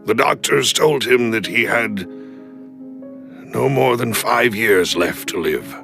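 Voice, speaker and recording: Grave, gravelly loyal retainer. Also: male voice, medium pitch, over music. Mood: somber. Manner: formal, measured, deliberate phrasing. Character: loyal, deferential older male retainer, grave and gentle. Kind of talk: dramatic video game cutscene acting.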